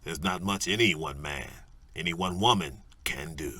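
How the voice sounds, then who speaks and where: A weary but steadfast police chief, played by a man in a superhero audio drama. His low voice is husky and close, with a resigned, quietly resolute delivery and almost no room sound. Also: moderate pace, dry recording.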